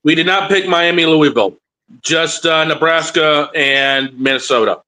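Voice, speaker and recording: Bright, high-voiced male sports co-host. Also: normal speed, low-quality recording. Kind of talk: casual sports banter.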